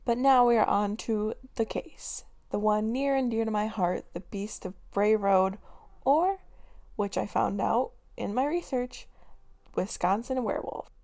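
A young woman hosting her own podcast, chatting casually and giggling, her voice raspy today with lots of filler words. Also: medium pitch, measured pace, a little mellow. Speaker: podcast host. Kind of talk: casual solo podcast chat.

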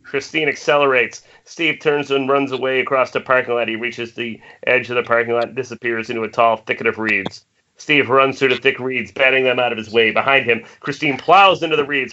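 A man narrates screenplay directions in a bright, forceful voice of medium pitch. The sound is a dull, lo-fi online call with faint noise. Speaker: narrator reading stage directions. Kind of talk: scripted narration at amateur table read.

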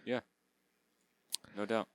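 A man's voice: takes it slowly, no echo. A man co-hosting a casual sports podcast, laid-back, with dry teasing and short replies. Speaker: podcast co-host.

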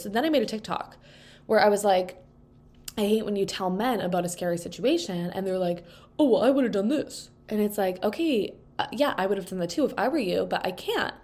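Young woman, stuffy nose, chatty podcast host, rambling with fillers, table mic, middle pitch. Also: darkish tone. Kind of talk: casual solo podcast chat. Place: indoors at a table. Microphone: microphone propped on a table.

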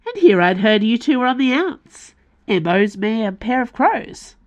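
A woman voicing a sardonic young woman, a tavern keeper's daughter, with a brisk, mocking delivery. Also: fairly high pitch, sing-song, muffled recording, pitched above the performer's own voice. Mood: wry.

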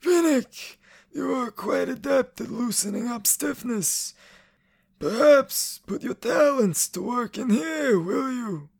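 A man playing a stern, commanding chief of officers in a radio drama, formal and deliberate, with a husky, creaky voice. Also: extremely high pitch, animated, pitched above the performer's own voice, full character voice. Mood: measured, sly.

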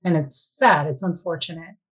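A woman's voice: low-pitched, normal speed, slightly rough. A woman giving personal testimony in an interview, speaking in an earnest, plain-spoken and encouraging way. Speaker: interviewee, adoptive mother.

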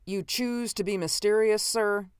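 A man's voice: a little high, lively intonation, normal speed, clear, resonant tone, no room sound, clean studio sound, pitched above the performer's own voice, close to an everyday voice. A man playing a formal officer of the future, authoritative and clipped. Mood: puzzled, impatient.

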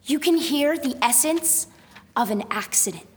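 Actress as precocious girl genius, high voice, prickly and proud, emphatic, with long reverb. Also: lively intonation, a little slow. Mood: proud, presenting. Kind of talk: radio drama acting. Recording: reverberant student radio drama recording.

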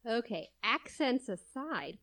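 A woman's voice: fairly high pitch, sing-song, slow, a bit bright. A young adult woman from California chatting casually as a co-host, laughing often between phrases. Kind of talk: casual chat.

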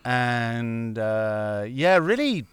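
A man's voice: medium pitch, sing-song, drawn-out words, clean tone, no echo. A middle-aged man chatting casually as a podcast guest, rambling and drifting off on tangents. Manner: rambling, digressive. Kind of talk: casual chat.

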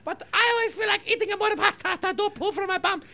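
A cheeky old Italian grandmother in improvised comedy, with an extremely high voice. She talks in an excitable Italian accent, blunt and insistent. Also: quick pace, vocal fry, full character voice. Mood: cheeky, matter-of-fact. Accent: put-on Italian. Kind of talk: improvised comic character banter.

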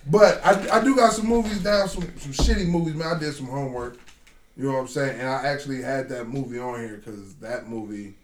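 A man co-hosting a podcast in casual group banter, animated and profane, repeating slangy phrases for emphasis. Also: medium pitch, brisk, slight background noise. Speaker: podcast co-host. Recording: roomy echo with voices around.

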